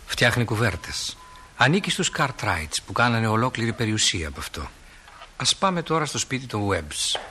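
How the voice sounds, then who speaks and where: An actor playing a stage manager who narrates small-town life to the audience in a radio play. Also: male voice, lowish voice, very animated, pushed and bright. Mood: storytelling. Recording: hissy old radio drama recording.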